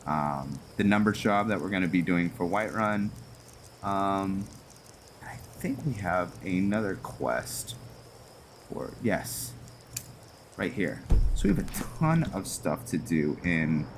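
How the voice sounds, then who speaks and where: A man giving casual roleplay gameplay commentary, thinking aloud in a halting way as he hosts. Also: low-pitched, expressive, background noise. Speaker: gaming video host. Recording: music under the voice.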